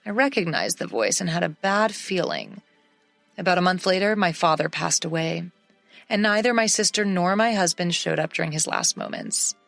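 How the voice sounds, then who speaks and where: Woman storyteller; low gravelly narration, dry close mic. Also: normal speed, no echo, faint music behind. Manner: steady storytelling read. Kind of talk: scripted first-person story narration.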